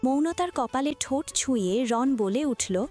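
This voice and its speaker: A woman's voice: high voice, animated, a little slow, a little mellow, faint music behind. A woman reading scripted narration for a romantic family audio story.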